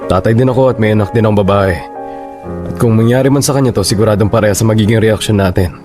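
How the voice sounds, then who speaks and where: A man with a Filipino accent playing an apologetic, peacemaking middle-aged father in a radio drama, measured and conciliatory. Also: lowish voice, music in the background, natural voice.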